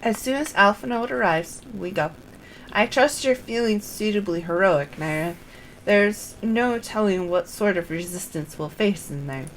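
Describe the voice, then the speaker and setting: A woman's voice: mid-range voice, lively intonation, a little slow, slight background noise, low-quality recording. A woman reading the part of a wry young noblewoman in a fantasy game, dry and formal in her phrasing. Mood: wry, resolute.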